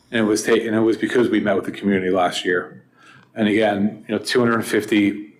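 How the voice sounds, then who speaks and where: A man's voice: on the low side, a little hiss or hum, poor audio. A man representing a development company speaks to a city board in a confident, casual way. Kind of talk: remarks at a public meeting.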